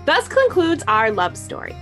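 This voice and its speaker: Higher-pitched woman, wry podcast narrator. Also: huge pitch swings, moderate pace, a bit bright, soft background music.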